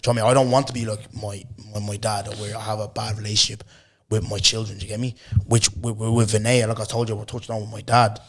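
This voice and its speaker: A man, hosting a podcast in loose, slangy chat, his voice hoarse. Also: lowish voice, fast. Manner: loose, animated storytelling with lots of slang and repeated "bro". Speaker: podcast host. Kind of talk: casual chat.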